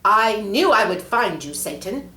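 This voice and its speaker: A woman's voice: mid-range voice, very animated, unhurried, pushed and bright, roomy sound, a little hiss or hum. A woman voicing a bold male angel warrior in a children's storybook, speaking firmly and with emphasis. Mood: commanding. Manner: firm, emphatic.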